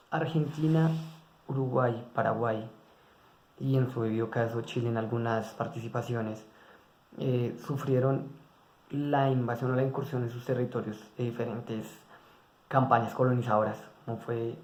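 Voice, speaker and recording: Monotone man giving a class presentation. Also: medium pitch. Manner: monotone, with hesitant fillers and restarts. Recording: echoey room.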